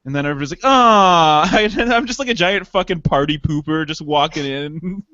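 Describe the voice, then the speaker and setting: A young man with a high voice rambles through casual podcast chat, laughing and swearing as he goes. The sound is dry and close. It is also dull and lo-fi. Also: normal speed, bright, forceful tone, no room sound. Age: 20s. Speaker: podcast co-host.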